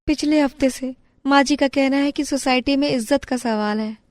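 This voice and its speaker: A woman's voice: high-pitched, normal speed, dry recording, voice pushed up, close to an everyday voice. A woman playing a meek, overworked young daughter-in-law in a family story cartoon. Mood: strained, tired. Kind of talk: Hindi family moral-story cartoon acting.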